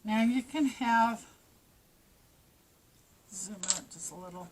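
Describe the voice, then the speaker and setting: A woman's voice: medium pitch, takes it slowly, slight background noise, poor audio. Congested woman teaching crochet. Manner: plain, practical instructions with small restarts. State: stuffy nose, losing her voice. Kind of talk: step-by-step craft tutorial.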